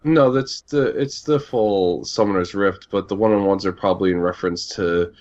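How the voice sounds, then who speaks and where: Low-voiced man, slightly muffled sound. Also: moderate pace, darkish tone. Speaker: podcast co-host. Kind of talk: casual chat.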